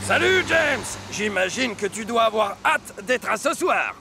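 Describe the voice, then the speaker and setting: A very high male cartoon voice with a gravelly creak, playing a friendly, helpful railway machine. Music plays clearly behind it. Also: animated, unhurried. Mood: kindly. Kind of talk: animated children's cartoon dub.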